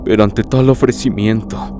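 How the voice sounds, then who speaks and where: A man narrating a horror story in the first person, in a grave, literary, dramatic manner with deliberate phrasing. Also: lowish voice, steady tone, noisy background. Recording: prominent music behind the voice.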